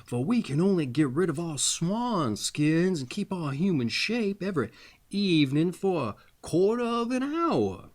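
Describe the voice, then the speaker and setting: A man's voice: sits high, very animated, measured pace, voice pushed up, heavily acted. A man voicing anxious, protective enchanted brothers in a fairy tale, with earnest, emphatic warnings. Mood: regretful.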